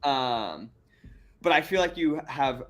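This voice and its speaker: A man's voice: medium pitch, expressive, slow, fairly bright tone. Rambling, stammering podcast host man. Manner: rambling, stammering, laughs often. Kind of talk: casual interview chat.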